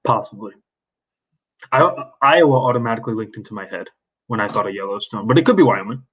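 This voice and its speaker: Lively easygoing male podcast host. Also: lowish voice, fast, husky, poor audio. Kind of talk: casual interview chat.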